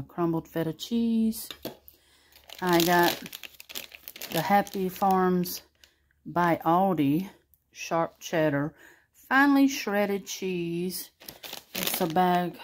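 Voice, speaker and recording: Slow, low-voiced woman vlogger. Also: noisy background. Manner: chatty, unhurried, homey. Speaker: grocery haul vlogger. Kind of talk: casual show-and-tell narration. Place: kitchen table at home, indoors.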